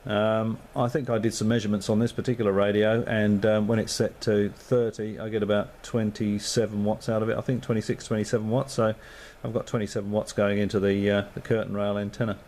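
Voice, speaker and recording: Low-voiced man on ham radio. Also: flat delivery, a little fast, hardly any pauses, darkish tone, slight background noise. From Australia. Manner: rambling, thinking aloud with many fillers. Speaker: amateur radio operator. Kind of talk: casual radio chat.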